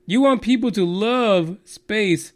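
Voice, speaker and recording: A man's voice: high voice, takes it slowly. A Texan man hosting a radio news show, rambling and mocking with plenty of swearing and filler words. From Texas. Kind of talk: profane rambling news commentary.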